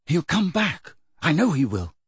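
A man's voice: on the high side, big pitch swings, a little slow, husky, no room sound, studio quality, pitched above the performer's own voice, full character voice. A man voicing an earnest, impatient boy for an audiobook, pressing and insistent in the way he talks. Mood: angry, insistent. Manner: urgent, pressing questions. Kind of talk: dramatised audiobook character voice.

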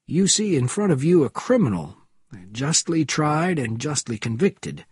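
A man performs an elderly fallen judge in a thriller audiobook, raspy and close and dry. He talks in formal, wordy sentences with wry self-awareness. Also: fairly high pitch, very animated, measured pace, darkish tone, dry recording, voice pushed up, heavily acted. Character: old disgraced former American judge, wordy and wry.

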